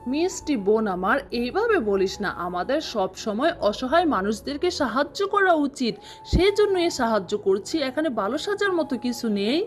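A woman acts out a kind-hearted older sister in a cartoon fairy tale. She sounds soft, earnest and soothing, patient even when chiding. Faint music plays behind her. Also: on the high side, brisk, pitched below the performer's own voice. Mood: gently chiding. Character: gentle, patient elder sister, a kind girl.

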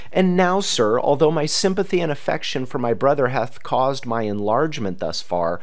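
A man reads a historical letter aloud in a formal, oratorical manner with emphatic pauses. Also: mid-range voice. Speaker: reader.

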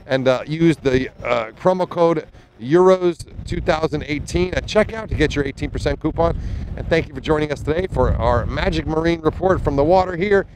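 Man, thirties, fairly high voice, chatty race commentary, handheld mic outdoors on a boat, faint wind and engine noise. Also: moderate pace, a little mellow, poor audio. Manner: chatty, enthusiastic, many fillers and restarts. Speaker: sailing commentator. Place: small motorboat on open water, outdoors. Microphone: handheld mic with fuzzy windscreen.

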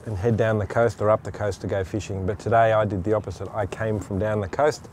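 Low-voiced Australian man narrating. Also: steady tone, fast talker, darkish tone, a little hiss or hum. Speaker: presenter. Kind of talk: descriptive first-person narration.

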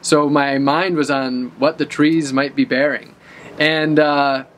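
Upbeat male vlogger, 30s, garden tour, somewhat higher voice, clear background street noise. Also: flat delivery, slow pace, fairly bright tone. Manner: enthusiastic, gestures while talking and eating. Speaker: vlogger showing his garden. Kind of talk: casual walk-through vlog. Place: outdoor urban garden, then indoors by a bookshelf. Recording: outdoor traffic noise in background.

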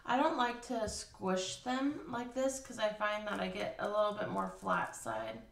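A woman's voice: medium pitch, lively intonation, measured pace, creaky, fairly bright tone. A woman casually explaining a craft step by step at a table, thinking aloud with fillers. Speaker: craft instructor. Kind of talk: casual hands-on craft tutorial. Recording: roomy, slightly distant.

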